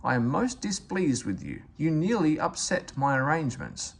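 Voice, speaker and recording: A stern, pompous boss of a railway in a children's storybook, acted out by a male reader. He is commanding and self-important, with a highish voice that swings widely in pitch and a slightly creaky edge. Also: sing-song, normal speed, heavily acted. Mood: stern, displeased.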